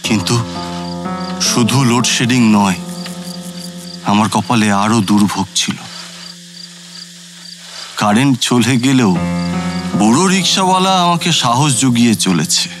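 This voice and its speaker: A man's voice: mid-range voice, lively intonation, measured pace, pauses often, over music, close to an everyday voice. A male actor playing a former judicial officer who narrates his night journey as a scripted, literary first-person audio story. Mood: reflective.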